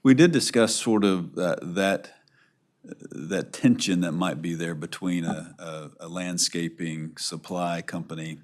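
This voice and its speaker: A man council member speaking in a friendly, measured, courteous way in a council chamber. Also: deep voice. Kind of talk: council discussion.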